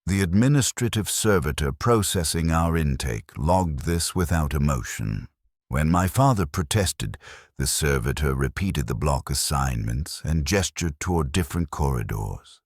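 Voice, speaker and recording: A man narrating a scripted first-person science fiction war story in a solemn, measured, clinical way. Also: very deep voice, animated, no echo, clean studio sound.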